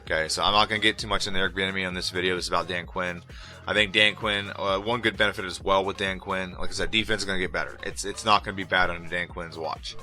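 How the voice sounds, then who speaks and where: A man's voice: sits low, steady tone, quick pace, sharp tone, soft background music. A man talking casually to his viewers as a sports vlogger, sounding nasal from a head cold, rambling and restarting his sentences. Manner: loose, rambling, repeats words and restarts. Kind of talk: casual opinion monologue to camera.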